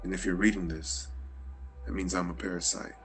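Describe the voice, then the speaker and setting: A man's voice: deep voice, steady tone, a little fast, faint music behind. A man reading a cynical, jaded male journalist in a table read of a noir script, speaking in a sardonic, brooding monologue. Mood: bleak.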